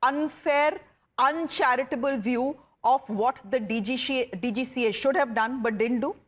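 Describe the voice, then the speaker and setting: Indian-accented woman, news debate host, high pitch, rhetorical emphatic delivery, muffled band-limited audio. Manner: emphatic, rhetorical questioning with dramatic pauses. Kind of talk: scripted news monologue to camera.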